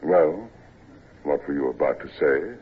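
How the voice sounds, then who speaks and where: An actor playing an ailing, worn-out old navy captain who speaks with a husky voice and hesitates with frequent "uh"s, in a classic radio drama. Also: male voice, a bass voice, expressive, unhurried, heavily acted. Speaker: ship's captain. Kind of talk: 1940s-50s radio acting. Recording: tinny old radio broadcast recording.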